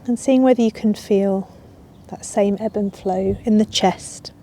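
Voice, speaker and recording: Very mellow, slow-speaking woman guiding relaxation. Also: medium pitch, fairly even intonation. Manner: soothing, unhurried, with long pauses. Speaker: yoga teacher. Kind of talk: guided yoga nidra relaxation. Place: outdoors in a garden. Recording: outdoor ambience with birdsong. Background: birds and garden ambience.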